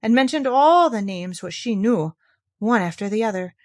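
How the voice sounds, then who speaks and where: A woman storyteller reading a fairy tale aloud in an expressive, theatrical and clearly enunciated way. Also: mid-range voice, moderate pace.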